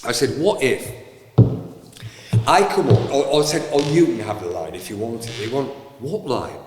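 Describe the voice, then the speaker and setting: Man, lively teller who does the voices, recorded in a reverberant space. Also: mid-range voice, moderate pace, soft background music. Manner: animated, acts out voices in his stories. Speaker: panto actor. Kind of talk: anecdotal storytelling. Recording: roomy, echoey with long reverb.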